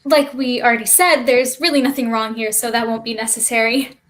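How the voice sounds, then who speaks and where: A woman in a staged play reading playing a cautious, quick-witted, level-headed child on a ship. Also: fairly high pitch, steady tone, natural voice. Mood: wary. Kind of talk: staged reading of a play over video stream.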